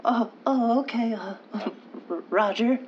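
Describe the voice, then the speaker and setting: A man's voice: extremely high pitch, slow pace. An actor voicing a timid, nervous errand boy with a thin boyish voice and a heavy stammer, in radio comedy. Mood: starstruck, flustered. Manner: heavy stammering, hesitant, deferential. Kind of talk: old-time radio comedy acting. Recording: dull lo-fi sound, faint noise.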